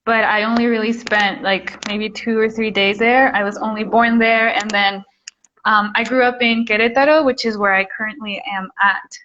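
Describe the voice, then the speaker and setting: Young artist from mexico talking over a dull video call, easygoing, lots of ums. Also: female voice, a little high, fairly even intonation, moderate pace, a little hiss or hum. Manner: easygoing, many ums, laughs softly. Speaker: guest artist. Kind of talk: casual interview answers. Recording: dull muffled call audio.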